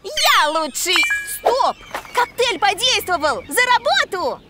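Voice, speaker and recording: An eager little cloud courier takes charge in a children's cartoon. The voice is very high, lively and emphatic, with slow deliberate delivery over loud music. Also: female voice, heavily acted. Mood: determined. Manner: emphatic, commanding. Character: bossy, eager young cloud courier leading a delivery team.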